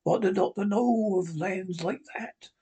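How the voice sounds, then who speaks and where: Man doing a gravelly dying old sea captain. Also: high-pitched, dark tone, full character voice. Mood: ranting. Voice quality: gravelly, husky, feeble. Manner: pleading, wheedling, cursing, slow and drawn out. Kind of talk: audiobook character voice.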